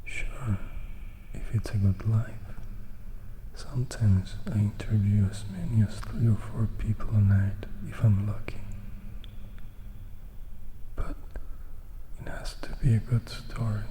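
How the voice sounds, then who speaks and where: A man's voice: on the low side, slow pace, long pauses, low-quality recording. A man voicing an eager, nervous boy interviewer in a whispered storybook reading, earnest and a little tentative.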